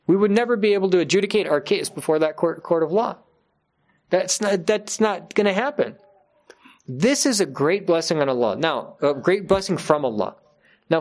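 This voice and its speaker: A man speaks to his community at a gathering, delivering a spontaneous religious talk. His manner is earnest and emphatic. He often restates a line to drive it home. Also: on the high side. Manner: earnest, emphatic, repeats key phrases for stress. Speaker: religious teacher addressing his congregation.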